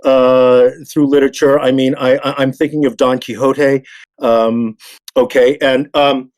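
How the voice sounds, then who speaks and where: A man on a discussion panel, making his argument haltingly, repeating words and stressing the key ones. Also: mid-range voice, level tone, darkish tone. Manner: halting and repetitive, yet emphatic on key words.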